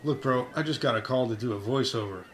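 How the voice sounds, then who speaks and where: A man's voice: medium pitch, quick pace, slight background noise, natural voice. A man playing a laid-back, put-upon surfer in a comedy audio drama. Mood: mildly irritated. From San Diego, United States. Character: laid-back, shipwrecked surfer dude, good-natured but put-upon.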